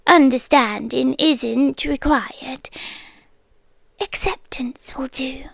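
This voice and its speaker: A high, breathy British female voice played by a woman as an obsessive, inhuman girlfriend in an ASMR roleplay. She drifts between soft speech and whispers, sweetly condescending and quietly threatening. The sound is close and dry. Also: no room sound, full character voice. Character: possessive yandere girlfriend, inhuman nest-dweller, softly menacing. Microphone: close microphone.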